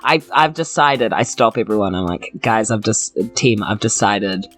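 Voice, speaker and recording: A woman's voice: extremely low pitch, lively intonation, normal speed, faint music behind. A woman chatting casually as a player in a tabletop game. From New Zealand. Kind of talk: casual in-character game chat.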